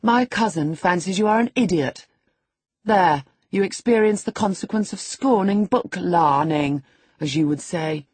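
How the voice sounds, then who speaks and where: A frail, spoiled teenage boy mocks his cousin in a raised, needling voice, as a man puts him on. The tone is breathy and husky. It is recorded dry and close. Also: measured pace, darkish tone, no echo. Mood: taunting. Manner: high, taunting, giggly. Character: sickly sneering teenage boy.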